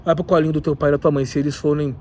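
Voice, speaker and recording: A man with a cold, talking in an animated, opinionated way with many asides in a casual vlog, recorded in a rooftop leisure area. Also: on the high side, very fast, slight background noise. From southern Brazil. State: has a cold. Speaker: video host.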